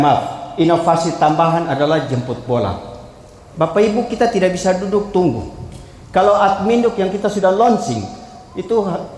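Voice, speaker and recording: A man's voice: high voice, darkish tone. A man from the civil registry gives an emphatic formal presentation to a jury panel. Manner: emphatic, calls out to the audience, moves slide to slide. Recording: echoey hall, faint ambience.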